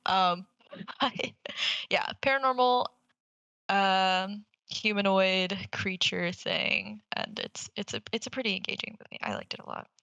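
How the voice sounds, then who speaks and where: A woman's voice: mid-range voice, lively intonation, unhurried, pushed and bright, no echo, muffled recording. A young woman co-hosting a casual movie podcast, blunt and sweary, given to hyperbole. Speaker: podcast host.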